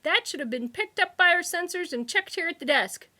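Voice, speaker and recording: A woman's voice: extremely high pitch, lively intonation, a little fast, pitched above the performer's own voice, heavily acted. A woman voicing a giant one-eyed alien receptionist in a comic sci-fi audiobook, officious, snippish and bureaucratic. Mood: snippy, compliant. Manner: snippish, bureaucratic, shouting over din. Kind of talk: humorous sci-fi audiobook character.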